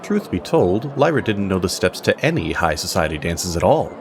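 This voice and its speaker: A man narrating a scripted fantasy story as its storyteller, in a measured, dramatic manner. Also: low voice, big pitch swings.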